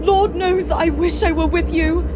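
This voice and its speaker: High-pitched, distraught grieving woman. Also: fairly even intonation, measured pace. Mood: longing, tearful. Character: distraught grieving young widow at a seance. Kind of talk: audio play acting. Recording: loud eerie music under the voice.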